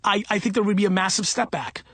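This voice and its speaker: Man, high husky voice, middle-aged, emphatic and opinionated, conversational audiobook delivery, very dry sound. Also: fairly even intonation, fast, a bit bright, no room sound. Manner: emphatic, self-assured, opinionated, blunt. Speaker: entrepreneur and company CEO reading own audiobook. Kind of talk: off-the-cuff audiobook talk, conversational.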